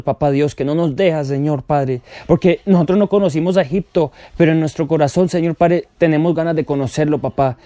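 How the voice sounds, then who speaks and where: A young man prays aloud with fervent, pleading intensity, breaking his words into short phrases and returning again and again to the same address to God. His voice sits a little high for a man. The sound is dry and close, with no room echo. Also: dark tone. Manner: repeats "papá" and "Señor Padre" after nearly every phrase; pleading, rising to impassioned calls. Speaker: prayer leader. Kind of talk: fervent spoken prayer.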